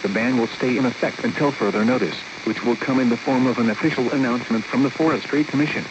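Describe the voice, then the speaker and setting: A synthesized man's voice reads out a weather warning in a mechanical, evenly paced cadence, clipping each heading short. It comes through a weather radio receiver, the sound dulled and muffled, under a heavy bed of hissing static. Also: medium pitch. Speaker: automated weather radio announcer. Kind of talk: synthesized weather bulletin reading. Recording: dull radio broadcast with loud static.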